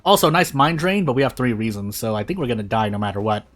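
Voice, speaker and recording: A man's voice: medium pitch, fast, fairly bright tone. A man giving casual gameplay commentary in a rambling, self-interrupting, self-deprecating way, often losing his train of thought. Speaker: video creator and gamer.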